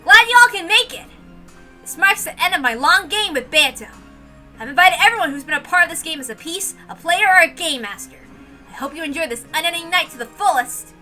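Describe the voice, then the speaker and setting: Young male host figure for a visual novel done by a woman: earnest, bright, hearty, high in pitch. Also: animated, fairly quick, soft background music, exaggerated voice. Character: hearty young male lord hosting a celebration. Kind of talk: visual novel read-aloud character acting.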